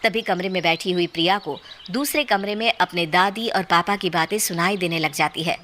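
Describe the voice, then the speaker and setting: A woman's voice: fairly low pitch, normal speed, fairly bright tone, slight background noise. A woman narrator reading a scripted horror story in a storytelling style. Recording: clear music bed under the voice. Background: background music.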